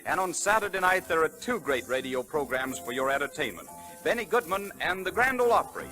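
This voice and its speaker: A man's voice: fairly high pitch, lively intonation, brisk, pushed and bright, soft background music, rough recording. An American man announcing a scripted 1930s radio commercial with a polished salesman's emphasis, on an old broadcast recording. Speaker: radio announcer reading sponsor ads.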